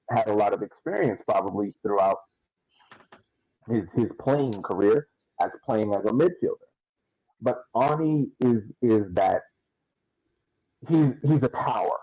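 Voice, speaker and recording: A man on a podcast panel giving casual sports analysis, explaining in halting, emphatic phrases that he often repeats. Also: lowish voice, warm tone, dry recording, poor audio.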